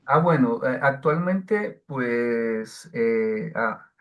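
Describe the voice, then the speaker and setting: A man teaching the Bible, answering questions in an online class, slow and hesitant with many fillers and restarts. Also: medium pitch, animated, highs cut off.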